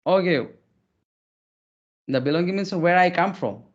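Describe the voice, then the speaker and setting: A man from Odisha with an Indian English accent, speaking politely as a guest, repeating words and filling pauses. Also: high voice, expressive, highs cut off. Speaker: interview guest, English learner. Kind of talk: casual conversational interview.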